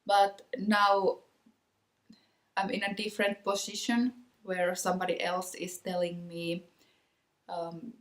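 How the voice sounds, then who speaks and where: Slow-talking Finnish woman in an echoey room. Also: mid-range voice, flat delivery. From Finland. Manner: hesitant, many ums and restarts. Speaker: knitting podcast host. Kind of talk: casual chat to camera.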